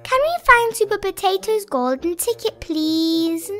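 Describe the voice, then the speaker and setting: A woman voicing an excitable, cheerful and curious young girl pig in a children's storybook. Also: very high-pitched, unhurried, faint music behind, pitched above the performer's own voice, heavily acted. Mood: eager, curious. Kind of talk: animated children's storybook read-aloud.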